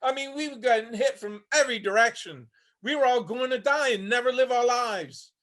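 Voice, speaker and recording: A man's voice: very high-pitched, brisk, a bit bright. A man acting a haunted, homeless older Vietnam veteran, weary and bitter, telling his story plainly in a staged play reading. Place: online video call reading.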